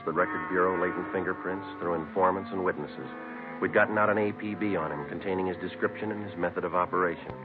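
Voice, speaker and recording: An actor playing a stoic police detective in a 1950s radio crime drama, with a clipped, deadpan delivery. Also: male voice, sits low, natural voice. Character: stoic, matter-of-fact male police detective sergeant, narrator. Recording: old tinny radio recording, music behind.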